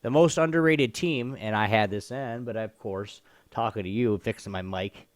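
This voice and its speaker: A man's voice: lowish voice, moderate pace, no room sound. A man co-hosting a gaming stream, chatting casually about esports in an informal way, swearing and repeating himself.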